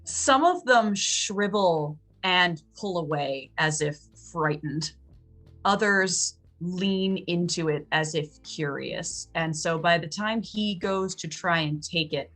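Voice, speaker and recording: A woman's voice: fairly low pitch, slow pace, a bit bright. A woman acting as game master, improvising descriptive narration for a tabletop roleplaying game. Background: music.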